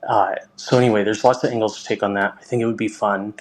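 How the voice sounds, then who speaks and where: A man's voice: on the low side, steady tone, fast, rough recording. A man leaving a casual, rambling message as a caller to a show.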